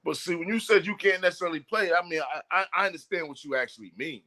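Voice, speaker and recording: A man's voice: a little high, fast talker, pushed and bright. A man in his forties in casual chat, emphatic and affirming as he reminisces. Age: 40s.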